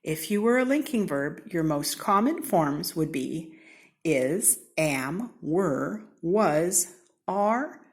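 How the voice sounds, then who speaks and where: Lively American woman reading a children's storybook. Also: on the low side. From Seattle, United States. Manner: theatrical, deliberate, with playful emphasis and whispered asides. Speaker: storybook reader. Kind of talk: expressive children's read-aloud.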